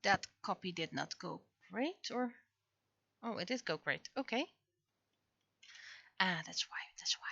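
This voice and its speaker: A bright-voiced woman thinks aloud on a casual art livestream, speaking slowly and haltingly, half to herself. The sound is very dry and a little dull. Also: fairly low pitch, lively intonation, gravelly, dry recording. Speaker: livestreaming webcomic artist. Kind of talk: casual livestream chat while working.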